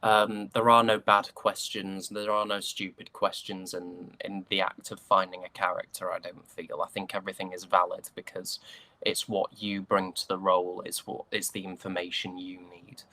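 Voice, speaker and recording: A young man with a British accent speaks in a low voice, close and dry. He answers conversationally as an interviewee, thoughtful and hesitant, feeling his way toward each point. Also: level tone, moderate pace, pushed and bright, no room sound. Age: young adult. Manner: thoughtful, hesitant, searching for words. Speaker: stage actor being interviewed.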